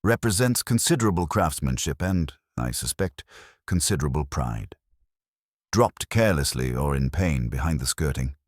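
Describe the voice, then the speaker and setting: A man voicing a mild, shrewd police inspector in a mystery story, formal and quietly ironic. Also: a bass voice, lively intonation, moderate pace, no echo, studio quality. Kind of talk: storybook mystery character dialogue.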